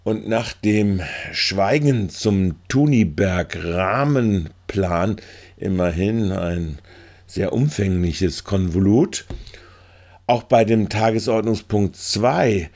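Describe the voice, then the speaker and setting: A man's voice: on the low side, lively intonation, slow, a little mellow. A man giving spoken political commentary as a reporter, in long wordy sentences.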